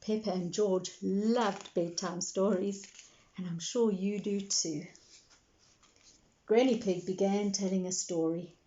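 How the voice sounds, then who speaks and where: A woman reading a children's story aloud in an expressive style, addressing her young listeners directly. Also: medium pitch, slow pace, highs cut off.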